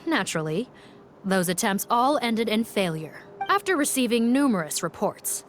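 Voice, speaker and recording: A woman playing a dutiful, firm police officer in a video game, speaking in a formal, measured, assured manner. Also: fairly high pitch, big pitch swings, faint music behind. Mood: confident.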